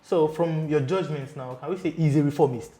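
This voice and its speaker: A male interviewer with a fairly high-pitched voice poses questions in an easy conversational way. He keeps affirming his guest with short hums and agreements. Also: brisk, mellow. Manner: affirming, with frequent backchannel hums and laughs.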